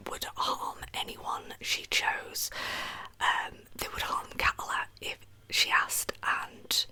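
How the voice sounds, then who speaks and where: A woman narrating in a breathy, unvoiced ASMR whisper, hushed and unhurried, like a storyteller. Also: rough recording. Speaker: host of an ASMR true crime channel. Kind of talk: soft-spoken ASMR storytelling.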